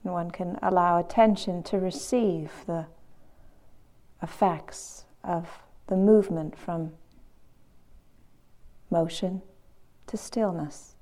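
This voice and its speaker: Slow, mellow woman guiding meditation. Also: lowish voice, fairly even intonation. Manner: gentle, measured, long pauses between phrases. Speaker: meditation teacher. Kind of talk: guided meditation.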